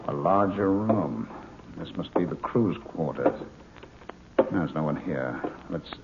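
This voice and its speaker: An actor in a 1950s radio drama playing an eager male scientist who leads a saucer project, excitable and commanding. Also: low-pitched, takes it slowly, heavily acted. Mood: earnest. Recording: tinny old radio broadcast with hiss.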